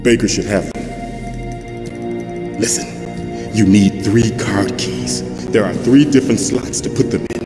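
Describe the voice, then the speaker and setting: A male actor plays a captive middle-aged chief, low-voiced and weary, explaining with grave urgency in game cutscene style. The voice rings through a long reverb while loud music plays behind it. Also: unhurried, long pauses, natural voice. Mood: urgent. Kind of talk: dramatic video game cutscene dialogue. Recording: echoey reverberant room under loud music.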